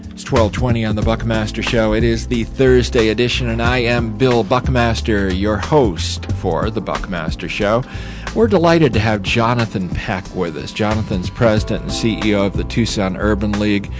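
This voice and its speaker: A man hosting a radio talk show, interviewing a guest in a relaxed, conversational way. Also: fairly low pitch, moderate pace, music in the background.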